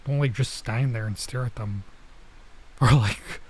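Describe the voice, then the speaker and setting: Young male streamer, relaxed and talkative, medium pitch; commentary over a visual novel. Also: measured pace, slightly rough. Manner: chatty, laid-back, lots of "like" and "bro". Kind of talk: casual let's-play commentary.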